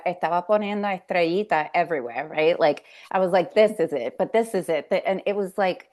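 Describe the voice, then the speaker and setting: A woman hosting an interview over a video call, talking in a halting way with many restarts and slipping into Spanish words. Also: lowish voice, darkish tone. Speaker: host. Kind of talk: casual interview chat.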